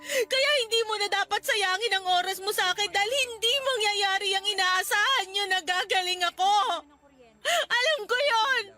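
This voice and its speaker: A woman voicing a gravely ill young woman who tearfully pushes her partner away in a radio drama. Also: extremely high pitch, normal speed. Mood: sobbing anguish.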